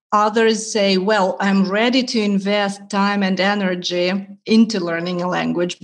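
A woman from Ukraine whose first languages are Russian and Ukrainian, a language teacher speaking as a podcast guest in a measured, explanatory way with frequent pauses. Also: mid-range voice. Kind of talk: podcast interview.

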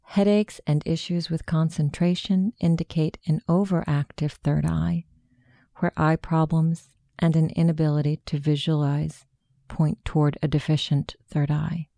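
A woman narrating a guided meditation in a slow, hushed, soothing way of instructing. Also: low-pitched, level tone, gravelly, dry recording.

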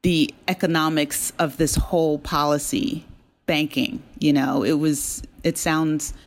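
A woman's voice: deep voice, level tone, a little slow. A woman speaking as an interview guest, a writer explaining her work conversationally and thoughtfully. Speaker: guest, audio drama writer and creator.

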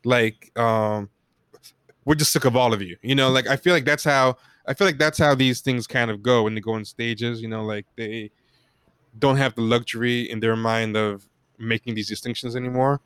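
An American man talking casually and reflectively in an interview, feeling his way through his points in a halting manner. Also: medium pitch, steady tone, a bit bright, clean tone, no room sound. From United States. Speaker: podcast guest.

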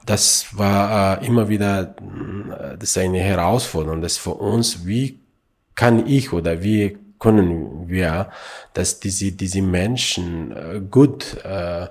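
A man from Afghanistan, answering interview questions in halting, broken German with many fillers. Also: low voice, a little mellow, husky. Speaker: refugee youth helper.

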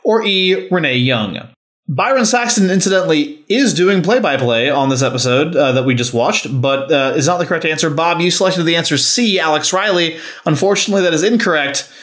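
A man's voice: on the high side, animated, fairly quick, fairly bright tone. A man hosting a wrestling podcast, moving between wordy, enunciated quizmaster delivery and casual chat. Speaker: podcast host.

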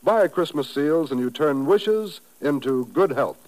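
A man's voice: on the high side, lively intonation, a little slow, rough recording. An American man reading a scripted public appeal as an announcer on 1950s radio. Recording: old radio recording.

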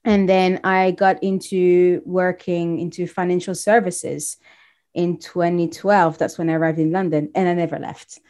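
A woman in her thirties, originally from Congo, talking casually as an interview guest, telling her story warmly with frequent restarts. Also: on the low side, level tone, unhurried.

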